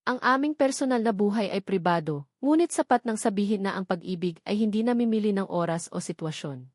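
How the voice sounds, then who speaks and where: A woman's voice: medium pitch, lively intonation, fairly quick, no room sound, studio quality, lightly acted. A woman voicing a composed, cold male lawyer in a romance audio story, formal and controlled.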